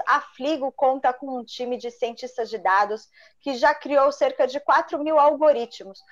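A woman with a fairly high voice hosts an interview, asking her questions fluently through an earphone headset. Her call audio sounds dull and lo-fi, with a muffled top end. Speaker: interviewer, host. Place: home office with bookshelves, indoors. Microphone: earphone headset on video call.

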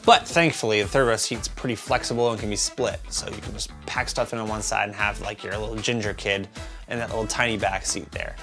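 A man in his thirties hosting a casual car review to camera, with a loose, tipsy delivery full of stumbles and drawn-out words. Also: on the low side, fairly even intonation, pushed and bright. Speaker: host of a car review show. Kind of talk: casual scripted-list review to camera with asides. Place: small home office room with computer monitors. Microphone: lavalier clipped to shirt. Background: music.

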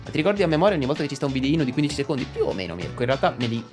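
A man's voice: mid-range voice, lively intonation, very fast. A man, reading game subtitles aloud as a streamer. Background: game music and dubbed English game voices.

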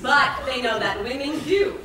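A young woman playing a prim, mock-serious romance scientist in a comic stage lecture, projecting crisp, clinical declarations. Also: high-pitched, moderate pace, a crowd in the background, lightly acted. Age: young adult. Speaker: stage actor presenting rules of rom-coms. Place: large indoor theater stage. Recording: echoey theater from far off, noisy audience.

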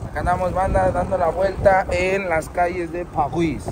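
A man's voice: high voice, steady tone, normal speed. A man with a Mexican accent vlogging casually on city streets, joking and slangy with his friends. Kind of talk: casual travel vlog. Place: outdoors on city streets, often riding a bike. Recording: outdoor street noise. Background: street traffic and city noise.

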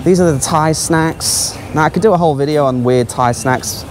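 A man's voice: on the high side, moderate pace, slight background noise, rough recording. A man giving casual, offhand, exclaiming commentary as a travel vlogger walking around a supermarket. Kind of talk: casual running vlog commentary. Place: large supermarket aisles.

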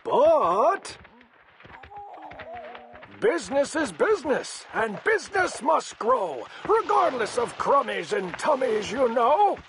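A man's voice: extremely high pitch, big pitch swings, slow, a bit bright, slight background noise, full character voice. A man voicing a greedy, defiant old factory owner in a storybook, boastful and emphatic. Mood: brisk.

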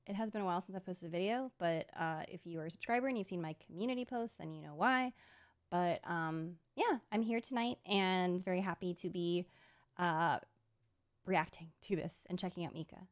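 A woman's voice: lowish voice, normal speed. A young adult woman hosting a casual reaction video, speaking into a close desk microphone at home. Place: small room at home.

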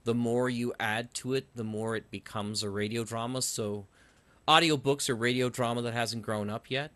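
A man's voice: medium pitch, fairly even intonation, normal speed, a bit bright, no room sound. A man hosting a casual interview, chatting and putting questions to his guests. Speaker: podcast host, interviewer.

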